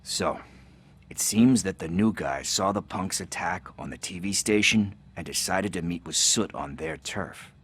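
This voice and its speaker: A wry, streetwise informant for a video game. His voice sits very low. He talks casually and glibly, hesitating as he goes, slippery yet likeable. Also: a bit bright, breathy edge, exaggerated voice. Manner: glib, hesitant, casual drawn-out phrasing. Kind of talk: video game cutscene acting.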